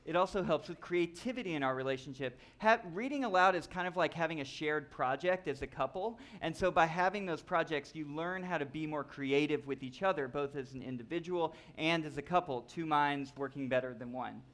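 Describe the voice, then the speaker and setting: A man's voice: fairly high pitch, fairly quick, hardly any pauses, fairly bright tone. A man co-presenting a live slideshow talk to an audience.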